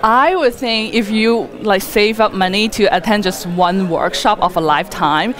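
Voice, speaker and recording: Female interviewee, medium pitch, informal and talkative, loosely strung sentences peppered with "like". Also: moderate pace, a bit bright. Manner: rambling and chatty, frequent "like" as filler. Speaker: wedding photographer being interviewed. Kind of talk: conversational interview answers.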